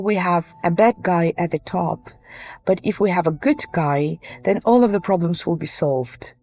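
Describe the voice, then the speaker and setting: A woman speaking as an academic guest, explaining her view in a deliberate, emphatic interview style. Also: on the low side, a little mellow.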